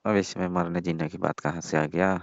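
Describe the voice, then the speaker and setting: Man playing a deep-voiced, smug rich young boss. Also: steady tone, a little fast, creaky, no room sound. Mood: dismissive. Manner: pushy, dismissive, teasing. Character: brash young rich male employer, smug and suggestive. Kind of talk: staged comic phone call skit, colloquial dialogue. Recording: muffled lo-fi dry phone call recording.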